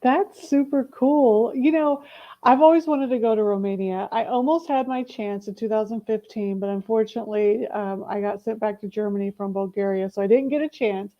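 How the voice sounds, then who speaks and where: A woman's voice: mid-range voice, moderate pace, dull audio. A woman co-hosting a live online book event, chatting eagerly and asking her partner questions. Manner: enthusiastic, asks the co-host questions. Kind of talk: casual livestream chat.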